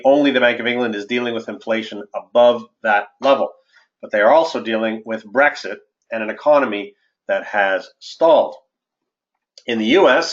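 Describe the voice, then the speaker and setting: A man's voice: medium pitch, a bit bright. Man presenting on a dull-sounding call. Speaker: host, investment adviser. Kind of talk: webinar presentation, partly scripted market commentary. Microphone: conference call line. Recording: dull, lo-fi conference-call audio.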